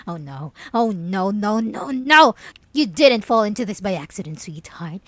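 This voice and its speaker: A woman's voice: mid-range voice, no echo, natural voice. A young woman performing an obsessive, possessive female killer locked in a cell, taunting in whispers and bursting into outbursts. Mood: scornful. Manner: taunting, slipping from soft whispers to sudden outbursts. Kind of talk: roleplay audio drama to the listener.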